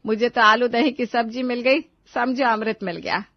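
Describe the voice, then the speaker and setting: Weary middle-aged mother played by a female narrator, cartoon family story. Placating, plaintive, slightly high voice. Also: moderate pace, dry recording, dull audio. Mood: nostalgic. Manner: coaxing, plaintive.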